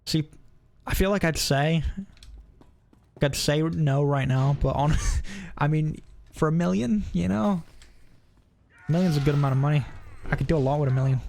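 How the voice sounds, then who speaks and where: A man's voice: fairly high pitch, moderate pace, gravelly, a little mellow, a little hiss or hum. A man casually commentating as he streams a video game, with a joking, sarcastic manner.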